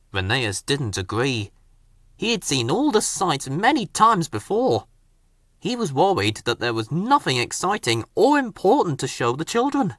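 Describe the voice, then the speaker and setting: A man reading a children's storybook aloud in an expressive, dramatic way. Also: on the high side, dry recording, studio quality. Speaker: story narrator. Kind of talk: scripted children's storybook narration.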